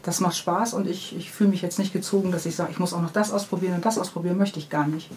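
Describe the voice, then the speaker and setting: A woman's voice: lowish voice, fairly even intonation, quick pace, a little mellow, slight background noise. A woman who writes children's books, answering interview questions in an unscripted, conversational way. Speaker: children's book author being interviewed.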